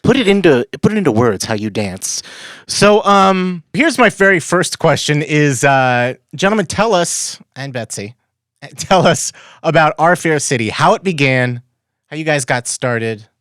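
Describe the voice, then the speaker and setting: A man hosting a podcast, asking questions in an informal, rambling, halting way, like casual chat. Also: a little high, animated, a bit bright, dry recording, studio quality. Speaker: podcast host and interviewer.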